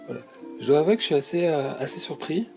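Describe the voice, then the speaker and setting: A man's voice: on the high side, expressive, quick pace, darkish tone, faint music behind. A man with a cold, unboxing toys for his channel and describing each item in a hesitant, casual way with many fillers. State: stuffy from a cold. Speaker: toy unboxing youtuber.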